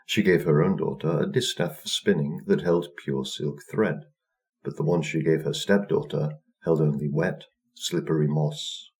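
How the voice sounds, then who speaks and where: Male storyteller, low register, reading a children's folktale. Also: steady tone, normal speed, vocal fry, darkish tone. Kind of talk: scripted folktale narration for children.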